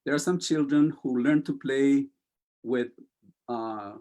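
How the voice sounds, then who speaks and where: A man with a Spanish accent, whose first language is Spanish, talking very slowly in a mid-range voice. He hesitates often and fills pauses, choosing his words with care as a poet being interviewed. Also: mid-range voice. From Chile. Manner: slow, hesitant, many fillers, soft-spoken. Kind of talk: casual interview, reading poetry aloud.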